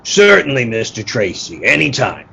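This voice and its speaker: A man playing a nervous, deferential circus boss in an old-time radio play, with a husky voice and a polite, placating manner. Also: medium pitch, big pitch swings, normal speed, sharp tone, a little hiss or hum, exaggerated voice.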